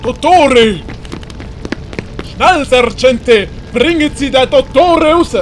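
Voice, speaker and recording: A woman puts on a brave captain for an audiobook adventure. The orders come urgent and commanding in a high, lively voice, over faint music. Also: takes it slowly. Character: brisk, brave male captain giving orders.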